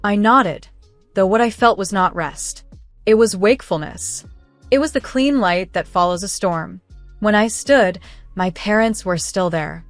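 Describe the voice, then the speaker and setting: A woman's voice: mid-range voice, a little slow, no room sound. Dry-sounding woman narrating a story over music. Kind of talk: scripted first-person story narration.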